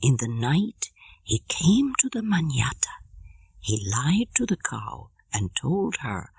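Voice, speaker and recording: A woman's voice: rumbling low, big pitch swings, slow, vocal fry, a little mellow, breathy edge, no echo, full character voice. Woman voicing a solemn, proud warrior man. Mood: hushed storytelling. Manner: solemn, formal. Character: proud, protective East African warrior man, a storyteller. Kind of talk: audiobook character reading.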